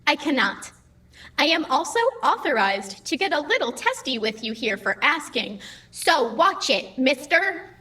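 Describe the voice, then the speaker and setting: A woman's voice: high voice, very animated, unhurried, pushed and bright, a little hiss or hum, heavily acted. A woman playing a perky, literal-minded, slightly testy computer assistant in an audio drama, chirpy, officious and pedantically precise. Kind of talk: scripted audio comedy-drama acting.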